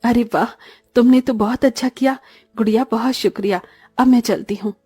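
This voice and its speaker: A woman's voice: fairly high pitch, moderate pace, warm tone, husky, no room sound, clean studio sound. A woman voicing a poor, polite village auntie with a plaintive manner in a cartoon story. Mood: grateful.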